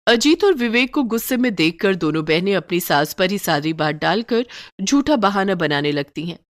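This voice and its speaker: A woman's voice: medium pitch, lively intonation, moderate pace, dry recording, clean studio sound. A woman reading scripted narration for a moral story, as its storyteller.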